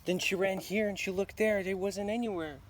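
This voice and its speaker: A man telling a folktale live to children in an expressive, dramatic manner, pausing to ask his listeners questions. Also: high voice. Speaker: storyteller. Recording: noisy live recording, clear background noise.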